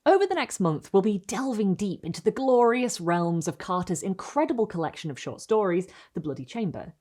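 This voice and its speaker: A woman hosting and reading literary stories aloud in an expressive, theatrical style with deliberate phrasing. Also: medium pitch. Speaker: host and reader of a literary video series. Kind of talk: dramatic storytelling, scripted narration.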